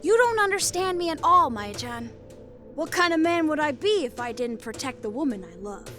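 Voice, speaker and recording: A woman's voice: sits high, very animated, normal speed, pushed and bright, soft background music, heavily acted. A woman voicing a tired, wounded young male samurai with a dry, sardonic manner. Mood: earnest.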